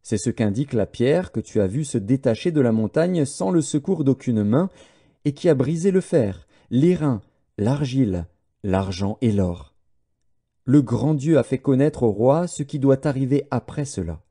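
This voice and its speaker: A man narrating scripture as an audiobook in a solemn, measured way. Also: medium pitch, expressive, dark tone.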